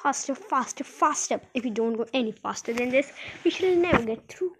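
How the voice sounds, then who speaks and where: A woman voicing an excitable, cheerful eccentric man from a children's storybook, exclaiming and repeating phrases with glee. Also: high voice, fairly quick, a little hiss or hum. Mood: excited, impatient.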